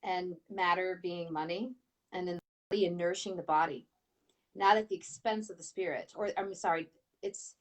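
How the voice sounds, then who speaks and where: A woman with a nasal Michigan accent hosting a show, chatty and quick to laugh. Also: lowish voice, fairly even intonation, rough recording. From Michigan, USA. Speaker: podcast host. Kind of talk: casual interview hosting.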